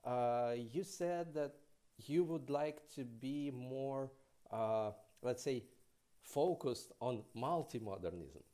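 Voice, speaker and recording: Slow, mellow-voiced male host. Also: mid-range voice. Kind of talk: hosting an online interview. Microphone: headset on a video call.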